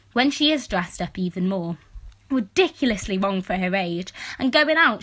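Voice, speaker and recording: A woman's voice: mid-range voice, pushed and bright. A woman voicing an exasperated, sardonic sixteen-year-old girl in an audiobook, telling her story in a wry, emphatic, complaining way. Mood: scandalised, exasperated. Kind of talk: first-person audiobook narration.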